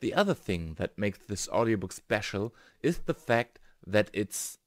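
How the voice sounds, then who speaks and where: A man's voice: fairly low pitch, big pitch swings, unhurried. A man narrating a scripted review in English as the host. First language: German.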